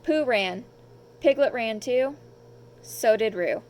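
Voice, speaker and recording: A woman's voice: a little high, expressive, slow pace, a little mellow, a little hiss or hum, low-quality recording. A woman narrating a children's storybook from a script as the reader.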